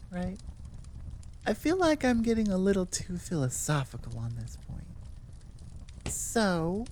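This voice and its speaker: A woman's voice: fairly low pitch, darkish tone, slight background noise. A North American woman, an audio roleplay creator, chatting casually in a playful, goofy, meandering way to her listeners. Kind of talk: casual rambling outro chat.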